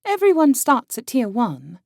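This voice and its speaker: A woman voicing a self-assured, appraising woman of a game world in an audiobook, with a dry, commanding and deliberate delivery. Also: high-pitched, wildly swooping pitch, gravelly, darkish tone, no room sound, heavily acted. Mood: brisk, curious. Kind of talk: audiobook character acting.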